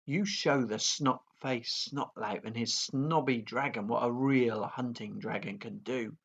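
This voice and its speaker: A man's voice: high-pitched, very animated, normal speed, breathy edge, highs cut off, voice pushed up, heavily acted. A man voicing an anxious, earnest skinny boy Viking who pleads urgently. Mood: urging.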